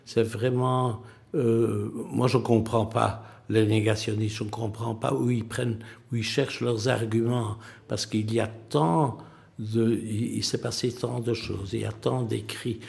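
Older man, low gravelly voice, slow halting speech with fillers. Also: steady tone, darkish tone. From Belgium. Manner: halting, many fillers and restarts. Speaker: museum president, witness. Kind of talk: interview answers.

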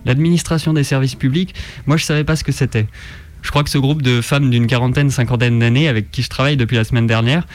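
A man's voice: medium pitch, no room sound. A man co-hosting a radio show, delivering opinion pieces with a sardonic, politically engaged manner. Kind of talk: scripted opinion column and radio banter. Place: radio studio.

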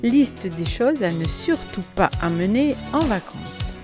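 A woman's voice: mid-range voice, expressive, measured pace. A woman hosting a student radio show, reading playful scripted lines in rhythm. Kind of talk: scripted radio jingle and announcements. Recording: music bed under the voice.

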